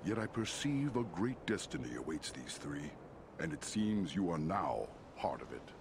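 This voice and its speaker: An actor playing a regal, wise and stern giant eagle lord in a fantasy game, stately and authoritative in delivery. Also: male voice, low voice, sing-song, normal speed, gravelly, background noise, exaggerated voice. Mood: stern, then granting. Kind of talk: scripted fantasy game dialogue.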